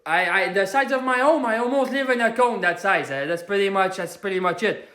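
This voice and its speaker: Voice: medium pitch, a little fast, roomy sound, natural voice. Someone doing a ranting Québécois hothead. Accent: Québécois French. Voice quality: crude, swearing, broken English mixed with French. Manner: loud ranting, self-styled Quebec Hulk. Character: hot-headed working-class Quebec man who is angry all day. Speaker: character comedian on a livestream. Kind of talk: ranting comedy livestream, answering viewer comments.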